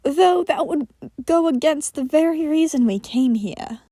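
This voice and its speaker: A woman's voice: high voice, animated, unhurried, dark tone, no echo, clean studio sound, pitched above the performer's own voice. A woman voicing a giddy, teasing inhuman creature in an audio story, airy and taunting. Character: giddy, teasing, uncanny inhuman spiral entity named Michael.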